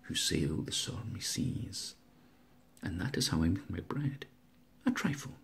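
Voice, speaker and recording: A man's voice: low voice, big pitch swings, unhurried, gravelly, slight background noise, exaggerated voice. A man voicing a very old, mild, rambling poor odd-job man in a storybook poem. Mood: mild, wistful.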